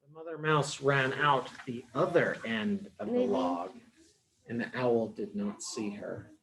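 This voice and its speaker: Slow-paced man reading to young children. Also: mid-range voice, fairly bright tone, rough recording. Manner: simple, explanatory phrasing with deliberate pauses. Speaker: children's storybook reader, early education teacher. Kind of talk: read-aloud storytelling for young children.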